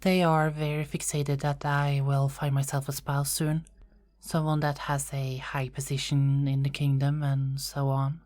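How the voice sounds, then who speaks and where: Woman playing a soft, unhurried young queen. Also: a bass voice, flat delivery. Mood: calm, resentful. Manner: formal wording, soft and hesitant with small stammers. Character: young unmarried queen, caring, dutiful, yearning to escape. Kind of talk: ASMR roleplay, scripted character acting.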